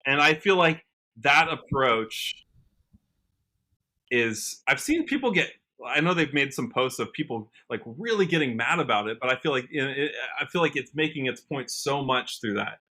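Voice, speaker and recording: Man, American accent, bright tone, mid pitch, casual podcast guest, earnest, enthusiastic. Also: expressive, normal speed. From United States, Washington state. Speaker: podcast guest, country musician.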